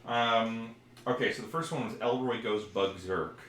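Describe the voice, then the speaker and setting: A man's voice: mid-range voice, normal speed, fairly bright tone. A man co-hosting a casual podcast about retro games, talking in a rambling way as he searches for details. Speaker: podcast co-host. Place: indoor room, recorded live on stream. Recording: roomy echo, clear background noise.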